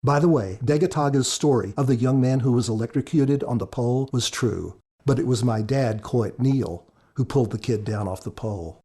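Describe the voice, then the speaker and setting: A man with a husky voice, an author giving earnest, measured, reflective commentary on a story. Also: medium pitch, mellow. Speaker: author and podcast guest commentator.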